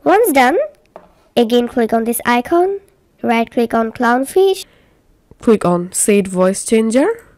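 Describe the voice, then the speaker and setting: A woman presents a software tutorial step by step. She speaks slowly and deliberately, giving plain instructions. The sound is close and dry. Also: fairly high pitch, sing-song, dry recording.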